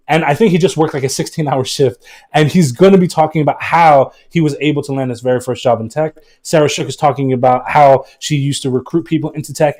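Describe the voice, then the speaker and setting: A man speaking as a guest on a casual live stream, energetic and emphatic, in a motivational way. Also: a little high.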